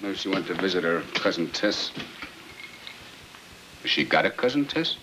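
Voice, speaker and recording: A man's voice: low-pitched, expressive, normal speed. An actor playing a bitter, sarcastic middle-aged American father and husband in a 1950s film drama. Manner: sarcastic, grumbling. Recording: noisy old film soundtrack with clicks.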